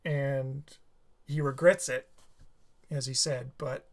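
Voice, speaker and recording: A tired man with a slightly sore throat, talking through a reaction video in a halting, rambling way with many restarts. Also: mid-range voice, steady tone. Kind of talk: casual rambling commentary.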